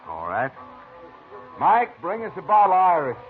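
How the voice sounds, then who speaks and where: An actor playing a polite, easygoing professional gambler and card dealer in a 1950s radio drama. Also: male voice, high voice, huge pitch swings, moderate pace, music in the background. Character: smooth, gracious professional gambler man. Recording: scratchy, tinny old radio broadcast.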